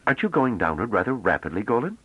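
A man reading a novel aloud, voicing a gentle, courteous older male scholar. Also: fairly low pitch, big pitch swings, fast talker, slightly rough, dry recording, voice pushed up, heavily acted. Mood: mild. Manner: mild, courteous. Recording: dull lo-fi dry audio.

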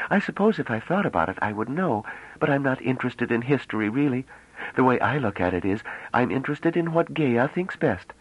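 A man reading a novel aloud, voicing a chatty, naive young woman who talks rapidly and almost breathlessly. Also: mid-range voice, no room sound, pitched above the performer's own voice, close to an everyday voice. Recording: dull lo-fi dry audio.

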